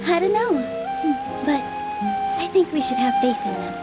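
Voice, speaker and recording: A woman voicing a warm, encouraging young female dancer in a game, speaking gently and without hurry. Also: sits high, sing-song, normal speed, over loud music.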